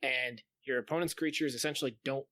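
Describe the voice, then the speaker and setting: A man's voice: medium pitch, steady tone, brisk, a bit bright, no room sound, studio quality. A man co-hosting a podcast, chatting casually and enthusiastically about games, with some swearing. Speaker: podcast co-host. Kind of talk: casual gaming chat.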